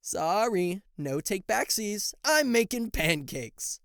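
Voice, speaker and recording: A man's voice: high-pitched, sing-song, slow pace, fairly bright tone, no room sound, studio quality, full character voice. A man playing a cocky, sarcastic devil and fallen angel in a roleplay audio, with a theatrical, teasing manner that slips into flustered stammering. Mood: playful, boastful. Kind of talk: roleplay audio acting addressed to the listener.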